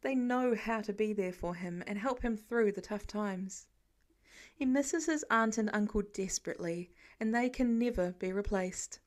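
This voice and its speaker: A woman's voice: mid-range voice, normal speed, darkish tone. A woman reading a scripted story aloud as an audiobook narrator.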